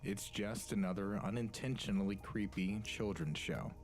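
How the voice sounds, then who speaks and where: A man's voice: lowish voice, normal speed. A man giving scripted voice-over narration as the narrator of a countdown video. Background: music.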